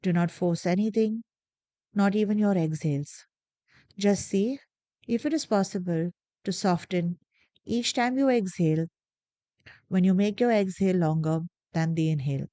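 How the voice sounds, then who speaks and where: A woman guiding a body scan meditation, giving slow, soft, calm instructions with long pauses. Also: medium pitch, no echo. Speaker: meditation guide. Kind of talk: guided meditation.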